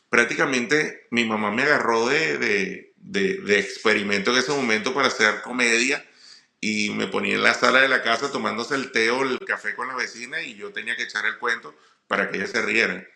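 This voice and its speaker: A middle-aged man with a Venezuelan accent tells personal stories informally, often halting and repeating words. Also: on the low side, gravelly, pushed and bright. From Puerto La Cruz, eastern Venezuela. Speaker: comedian, guest speaker. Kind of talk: personal storytelling monologue. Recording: roomy echo.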